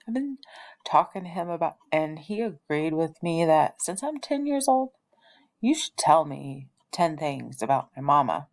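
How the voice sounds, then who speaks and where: A woman's voice: low-pitched, big pitch swings, measured pace, full character voice. A woman reading the part of an eager, pleading ten-year-old girl in a storybook. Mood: nervous, pleading.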